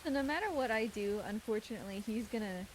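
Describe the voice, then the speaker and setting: A woman's voice: a little high, expressive, fast talker, poor audio. A woman giving live gameplay commentary as a speedrunner, with playful, coaxing asides to a game character. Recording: clear background game noise.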